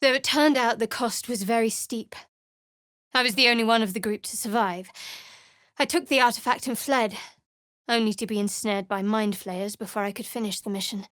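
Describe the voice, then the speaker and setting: A woman acts out a young female cleric sworn to a dark goddess in a fantasy video game. Her voice sits a little high and is captured very dry and close. She is guarded and sardonic, with a measured, dramatic delivery. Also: dry recording, natural voice.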